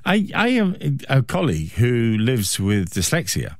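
Animated radio host in his sixties. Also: mid-range voice, unhurried, dry recording, studio quality. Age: 60s. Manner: self-deprecating, chatty. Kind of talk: conversational radio interview.

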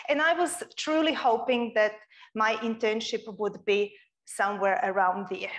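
Expressive woman from Croatia lecturing. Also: on the high side. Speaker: guest speaker. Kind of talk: public lecture. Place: lecture room.

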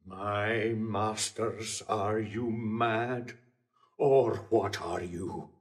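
An actor playing a pompous, puritanical household steward in a Shakespearean audio drama, scolding with haughty emphasis. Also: male voice, on the low side, fairly even intonation, very slow. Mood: indignant.

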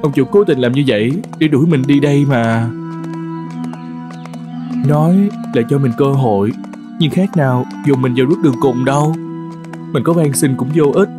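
A man's voice: medium pitch, moderate pace, pauses often, close to an everyday voice. A man voicing a weary old horse in a cartoon folk tale, plaintive and resigned. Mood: dejected, resigned. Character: weary, despondent old male horse, loyal and cast out. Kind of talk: animated folk tale dialogue. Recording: music under the voice.

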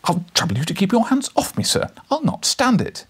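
A man acts out an indignant young storybook hero, high-pitched and flustered. He stammers with defiance, swinging up and down in pitch. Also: wildly swooping pitch, a little fast, breathy edge, whispered, full character voice. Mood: defiant.

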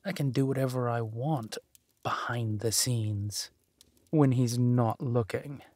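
A man playing a ruthless, haughty duke in a villain audio drama, drawling deliberately and with menace. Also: mid-range voice, darkish tone, dry recording. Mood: sly, scheming. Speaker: voice actor.

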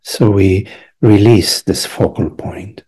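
A man leads a guided meditation in a very husky, breathy voice with a dark, relaxed tone. He speaks very slowly and gently, in short hushed phrases with long pauses left between them. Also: fairly low pitch. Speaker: meditation teacher.

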